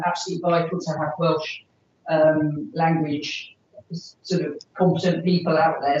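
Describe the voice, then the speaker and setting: A woman's voice: low voice, a little mellow, a little hiss or hum. A woman answering questions at a formal meeting as a park authority officer, hesitant and full of fillers. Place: meeting room. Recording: roomy, distant, dull recording.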